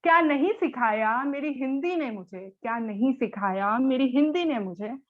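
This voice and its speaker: A woman's voice: high voice, phone-quality sound. A young woman reciting a poem in a declamatory manner, repeating lines for emphasis, over an online call. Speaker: poetry recital participant.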